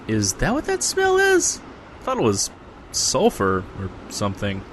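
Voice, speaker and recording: A man's voice: mid-range voice, wildly swooping pitch, measured pace, fairly bright tone, slight background noise. A man playing a sarcastic, incredulous everyman in a comedic audio drama. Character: Jesse, exasperated everyman dragged along to a magic convention.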